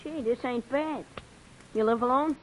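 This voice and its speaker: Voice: mid-range voice, measured pace, lo-fi sound, a little hiss or hum, close to an everyday voice. A performer voicing a defiant, cocky young street boy in a 1950s radio drama, full of slangy, sassy comebacks. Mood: sleepy.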